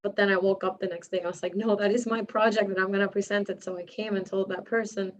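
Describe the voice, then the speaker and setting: A woman's voice: medium pitch, flat delivery, fast, dull audio. A woman whose first language is Spanish, speaking English with a slight accent as she tells a story on a panel and acts out the quotes. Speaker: panelist, architect.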